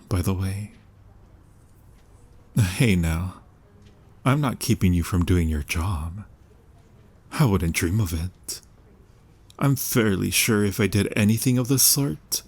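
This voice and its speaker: A man acts out a young mafia boss in an intimate audio roleplay. His voice sits fairly low and has a husky grain. He takes his time, smooth-talking and teasing with smug, mock-sincere charm. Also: a little mellow. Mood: teasing, apologetic. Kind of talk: ASMR boyfriend-style audio roleplay.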